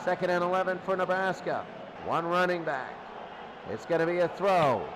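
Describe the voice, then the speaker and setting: High-pitched male football announcer over loud noise. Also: moderate pace. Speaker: play-by-play sports announcer. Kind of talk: American football radio commentary. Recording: loud steady noise behind the voice.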